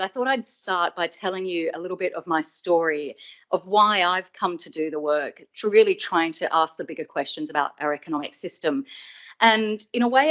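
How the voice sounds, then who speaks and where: A woman in her forties gives a public talk in an Australian accent, a little low for a woman. She is friendly and pokes fun at herself. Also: a bit bright. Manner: friendly, self-deprecating. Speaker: keynote speaker. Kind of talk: public lecture.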